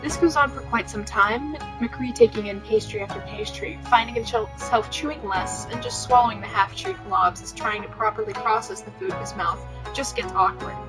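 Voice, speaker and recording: A woman with a fairly high voice narrates a story as an amateur podcast host. Her reading is casual, and music plays clearly behind her. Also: normal speed, few pauses, rough recording. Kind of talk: amateur fan fiction narration with casual joking asides.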